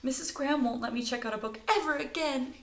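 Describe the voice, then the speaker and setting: Woman doing an anxious, high-voiced little girl. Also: fast, fairly bright tone, echoey room. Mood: pleading. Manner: pleading, anxious. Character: worried young girl who lost her library book.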